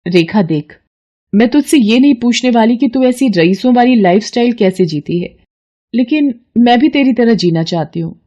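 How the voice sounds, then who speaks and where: A woman's voice: on the high side, expressive, moderate pace, mellow, lo-fi sound, pitched above the performer's own voice. A woman voicing an earnest, troubled young female student in a story, speaking emotively. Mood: fed up. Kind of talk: dramatized story dialogue.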